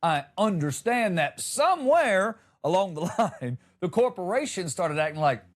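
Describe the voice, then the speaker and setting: A man with a fairly high voice delivers a comic monologue. His pitch moves up and down a great deal as he makes each point. He sounds exasperated and sarcastic, leaning hard on his words like a podcast host on a rant. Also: very animated, a little slow.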